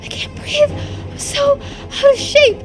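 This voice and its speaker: A woman's voice: extremely high pitch, fairly even intonation, slow pace, pitched above the performer's own voice. A woman voicing an anxious, self-doubting young woman in a visual novel game, talking to herself emotionally. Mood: panicked. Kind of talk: dramatic voiced reading of visual novel game dialogue.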